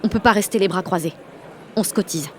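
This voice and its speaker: A woman's voice: mid-range voice, fast talker, some chatter behind, natural voice. A woman playing a rebellious, sardonic sixteen-year-old schoolgirl who tells her story in blunt, swearing slang in an audio drama. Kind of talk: first-person audio drama narration in slangy speech.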